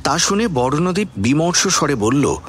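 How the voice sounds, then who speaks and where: A man narrating a suspense story for a radio drama as a wry, composed storyteller with expressive delivery. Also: fairly high pitch, moderate pace, a little hiss or hum.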